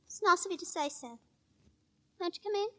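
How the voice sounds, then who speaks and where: Bright, high-voiced bold London girl. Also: lively intonation, fairly quick, a little hiss or hum. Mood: coy. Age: young adult. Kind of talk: 1940s radio acting. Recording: vintage 1940s radio recording.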